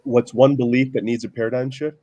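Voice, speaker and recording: A man's voice: mid-range voice, flat delivery, a little fast, dark tone. A man speaking casually as a guest on a discussion panel. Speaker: panelist, parent.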